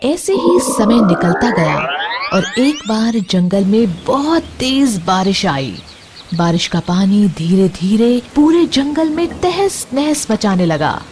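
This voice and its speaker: A woman's voice: a little high, a little mellow, slight background noise. A woman narrating a scripted children's bedtime story, expressively addressing young listeners. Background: music.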